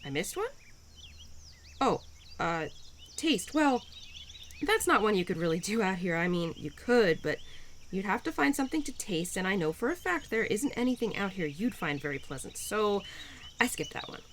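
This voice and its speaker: A woman's voice: medium pitch, sing-song, moderate pace, slight background noise, lightly acted. A woman playing a cheerful, pun-loving spirit of spring in an intimate audio roleplay, playful and encouraging with flustered hesitations. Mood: playful, instructive.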